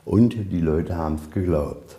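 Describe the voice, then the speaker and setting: A man's voice: sits low, unhurried, warm tone. A man working as a museum guide, telling stories informally and folksily, often posing questions and answering them himself. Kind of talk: informal guided tour storytelling. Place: inside a museum.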